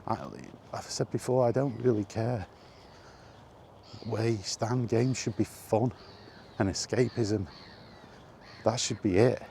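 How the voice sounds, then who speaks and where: A man's voice: medium pitch, fairly even intonation, slow pace, creaky, dark tone, a little hiss or hum. A man rambling casually in a weary, grumbling way, as in a freewheeling solo vlog. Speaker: vlogger. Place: walking while talking, ambient noise behind.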